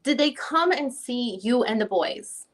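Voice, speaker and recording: A woman's voice: a little high, lively intonation, a little slow. A woman reading the part of a probing lawyer questioning a witness at a deposition. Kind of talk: dramatic reading of legal questioning.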